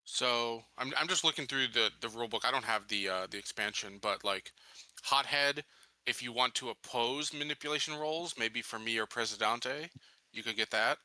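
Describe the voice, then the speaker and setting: A man's voice: mid-range voice, moderate pace, bright, forceful tone, no echo, poor audio. A man chatting casually about game rules as a player in a tabletop session.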